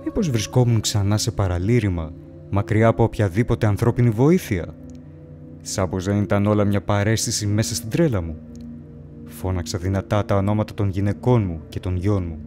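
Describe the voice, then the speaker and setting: Guy doing a haunted feverish wanderer telling a horror story, deepish voice, brooding n solemn, audio drama w music loud behind. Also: natural voice. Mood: troubled, uneasy, then anxious, tense. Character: haunted, feverish male wanderer lost among ancient graves. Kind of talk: dramatic first-person horror story narration.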